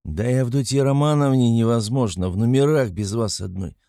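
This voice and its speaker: Male reader as tipsy young friend, hot-headed, talkative, blustering, pitched up from his own voice, storybook drama. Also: brisk, gravelly, mellow, dry recording, clean studio sound, close to an everyday voice. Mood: urgent, hushed. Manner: blustering, emphatic.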